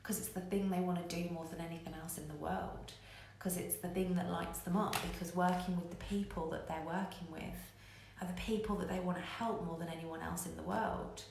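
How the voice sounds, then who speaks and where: A woman's voice: fairly low pitch, fairly even intonation, a little fast, vocal fry, hardly any pauses, a little mellow. A woman giving a candid live coaching talk to online viewers, emphatic and repeating phrases to drive points home. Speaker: business coach hosting a live online show. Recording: very echoey room, faint noise.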